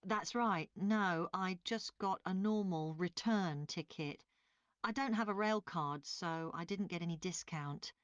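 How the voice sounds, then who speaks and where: A woman's voice: fairly low pitch, measured pace, clear, resonant tone, no echo, highs cut off, lightly acted. A woman playing an obliging, matter-of-fact train passenger answering questions in a scripted listening-test dialogue. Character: woman journalist, obliging but mildly critical train passenger.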